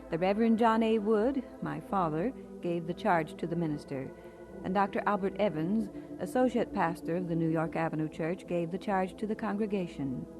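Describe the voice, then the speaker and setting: An actress playing a pastor's wife in an audio drama, fond, composed and gently teasing. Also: female voice, medium pitch, natural voice, low-quality recording. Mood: composed, reflective. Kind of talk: audio drama acting and narration.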